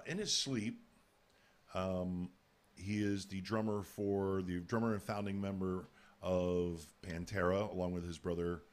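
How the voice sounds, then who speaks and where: A man hosts a live radio show in a low, booming voice. He talks casually and rambles, restarting phrases as he thinks aloud. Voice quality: big booming voice.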